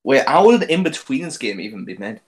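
A man's voice: mid-range voice, sing-song, fast. A man hosting a casual podcast, chatty and laughing, leading the topic with plenty of fillers.

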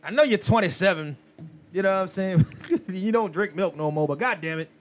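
A man's voice: high voice, a little fast, slight background noise. A man in his thirties co-hosting a podcast, chatting casually and profanely, laughing and raising his voice when surprised. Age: 30s. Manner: animated, loud, swears freely, laughs often. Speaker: podcast co-host.

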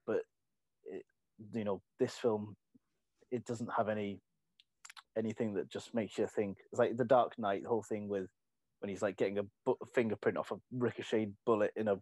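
A man's voice: lowish voice, steady tone, moderate pace, a little mellow, no room sound. Hesitant man, dry close sound. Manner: hesitant, lots of restarts, opinionated. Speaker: podcast co-host. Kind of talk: casual podcast chat.

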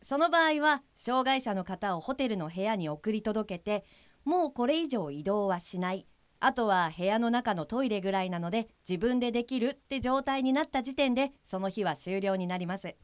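Woman playing earnest helper, slightly high voice. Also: no echo, natural voice. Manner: polite, explanatory, businesslike. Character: earnest, matter-of-fact female guide helper for blind people. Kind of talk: audio drama dialogue.

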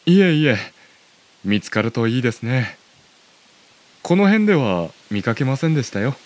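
A man playing a gentle, courteous middle-aged community chairman in an audio drama, speaking politely. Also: medium pitch, very animated, moderate pace, no echo, lightly acted. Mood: kindly, calm. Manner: polite, softly advising.

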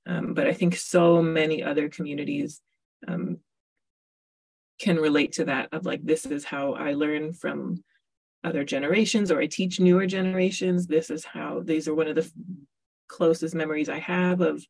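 A woman's voice: deep voice, fairly even intonation, normal speed, vocal fry, rough recording. A woman hosting a podcast, chatting casually with a guest, with lots of fillers and laughs. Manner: warm chatty, laughs often, many fillers. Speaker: podcast host. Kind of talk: casual interview.

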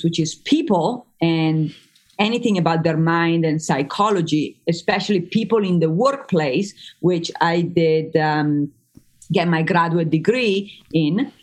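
A woman from northern Italy with an Italian accent, a native Italian speaker, chatting as a psychologist guest on a podcast and often pausing with fillers. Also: lowish voice. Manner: chatty, with frequent fillers and restarts. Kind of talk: podcast interview.

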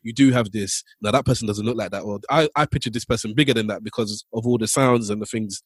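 A man co-hosting a podcast who rambles through his questions and circles back over his own words. His voice is slightly husky, and the recording is dry and close. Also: fairly low pitch, quick pace, no room sound. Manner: rambling, repeats himself. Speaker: podcast co-host. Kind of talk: casual chat.

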